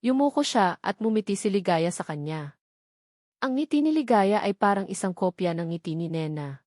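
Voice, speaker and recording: A woman with a Filipino accent reads a scripted romance story aloud in a measured, dramatic way. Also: medium pitch, expressive, a little mellow, dry recording, clean studio sound. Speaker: story narrator.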